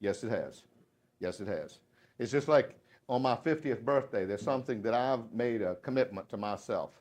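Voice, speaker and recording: A man in his fifties with a Southern accent, giving a folksy, joking live speech to a room of salespeople, swinging between loud and hushed. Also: mid-range voice, animated, moderate pace. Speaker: motivational sales speaker. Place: dealership meeting room.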